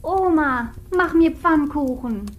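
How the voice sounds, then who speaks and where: A woman's voice: sits high, animated, takes it slowly, lo-fi sound, faint music behind, pitched above the performer's own voice. A woman voicing a sweet little snow girl in a fairy tale, with a childlike, singsong delivery. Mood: pleading.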